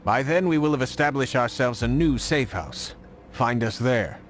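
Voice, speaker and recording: A man's voice: medium pitch, animated, moderate pace, natural voice. A man voicing a helpful, sober resistance contact in a video game, speaking earnestly and plainly.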